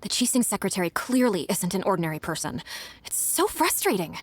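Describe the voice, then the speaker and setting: A woman's voice: medium pitch, big pitch swings, a little fast, a little hiss or hum, lightly acted. A woman playing an overworked, aggrieved young female secretary in a video game. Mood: frustrated, weary.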